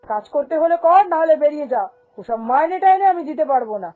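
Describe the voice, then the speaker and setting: High-pitched, scornful cartoon shop boss played by a woman. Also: lively intonation, fast talker, warm tone. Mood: angry, contemptuous. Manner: scolding, dismissive, haughty. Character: greedy, domineering, corrupt middle-aged female ration shop boss. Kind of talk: cartoon fairy-tale voice acting.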